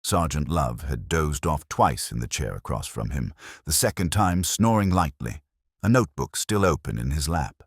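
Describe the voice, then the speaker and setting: A man's voice: extremely low pitch, lively intonation, moderate pace, slightly rough, dry recording, studio quality. A man narrating a scripted detective mystery as a storyteller.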